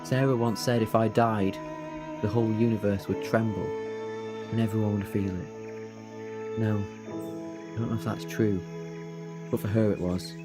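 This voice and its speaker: A man's voice: fairly low pitch, fairly even intonation, a little slow, long pauses, music in the background, close to an everyday voice. Man playing wry, urgent time-travelling alien hero. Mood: wistful. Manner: wry, flippant, bursts into urgency. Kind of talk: audio drama acting.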